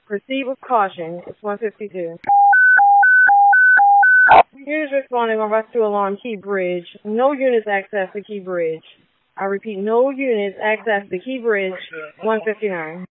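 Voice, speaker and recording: A woman's voice: medium pitch, measured pace, narrow, tinny audio, background noise. A woman dispatcher making formal emergency announcements over a two-way radio. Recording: phone-thin dispatch radio transmission.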